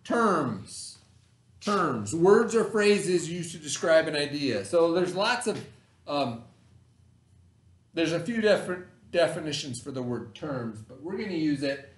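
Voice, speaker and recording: Middle-aged guy teacher, slightly high voice, explaining words to kids, repeats stuff and checks they follow. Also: expressive, unhurried. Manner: explanatory, repeats key terms, checks understanding with short tags. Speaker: elementary school teacher. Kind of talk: remote read-aloud vocabulary lesson for children. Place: classroom, indoors.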